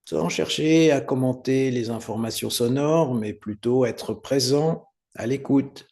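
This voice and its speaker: Slow-speaking man guiding meditation, creaky voice. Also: medium pitch, dark tone. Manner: unhurried, soothing step-by-step guidance with pauses. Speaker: yoga nidra meditation teacher. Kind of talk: guided meditation instruction.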